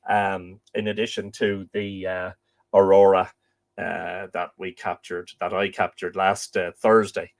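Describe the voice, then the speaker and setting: A middle-aged man from Ireland, croaky from a chest infection, chatting casually as host of a live stream and rambling with lots of fillers and restarts. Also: deep voice, level tone, unhurried.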